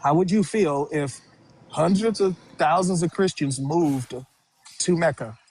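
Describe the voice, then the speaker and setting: A man's voice: fairly high pitch, a little slow, darkish tone, poor audio. A man hosting a livestream debate, combative and interrupting, calling the other side "dude" and "bro". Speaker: livestream host. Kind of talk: heated debate.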